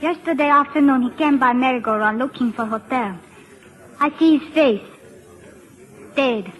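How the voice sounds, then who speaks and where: An actress playing a naive, solemn young village girl, speaking simple, halting broken English in a radio drama. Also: sits high, fairly bright tone, low-quality recording. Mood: solemn, eerie. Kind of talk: old-time radio drama acting.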